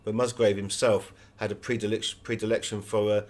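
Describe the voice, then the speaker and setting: A man's voice: on the low side, level tone, a little fast, a little mellow. A man speaking as a guest author in an interview, explaining things in a discursive, anecdotal way. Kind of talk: conversational interview, telling anecdotes.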